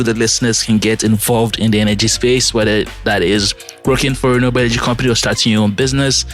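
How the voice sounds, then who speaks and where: A man hosts a podcast with enthusiastic, appreciative warmth in his words. His voice is on the lower side. Faint music plays underneath. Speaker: podcast host. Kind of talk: podcast intro and interviewing.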